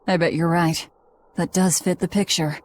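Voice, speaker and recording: A woman's voice: on the low side, steady tone, normal speed, a little mellow, a little hiss or hum, natural voice. An actress playing a guarded, blunt young demon woman in a game, speaking curtly. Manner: curt, blunt.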